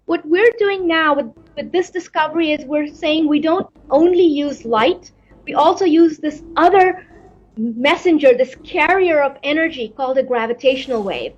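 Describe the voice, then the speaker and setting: High-voiced woman answering with repeated restarts. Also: moderate pace, lo-fi sound. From Pakistan. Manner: thoughtful, repeats words while searching for them. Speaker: astrophysicist guest. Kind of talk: interview answers.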